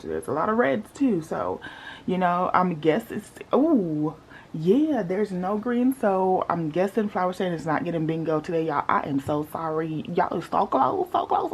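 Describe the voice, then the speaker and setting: Young woman vlogger, sleepy morning voice, playful and chatty, faint noise in the background. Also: fairly low pitch. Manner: playful, animated, talks to viewers as y'all. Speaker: budgeting vlogger. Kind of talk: casual chatty vlog.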